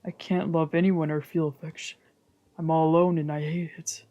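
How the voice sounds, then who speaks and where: A streamer voicing a broken, lonely mother confessing in her diary, hushed, halting and sorrowful, in a visual novel. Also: medium pitch, flat delivery, muffled recording, close to an everyday voice. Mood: despairing, hushed. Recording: music behind the voice.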